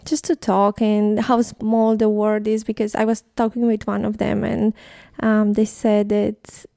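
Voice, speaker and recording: Gravelly-voiced Romanian woman guest. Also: medium pitch, completely flat delivery, a little slow, warm tone, no room sound, studio quality. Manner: hesitant, many ums, laughs between phrases. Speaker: photographic artist, guest. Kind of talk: radio interview. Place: radio studio.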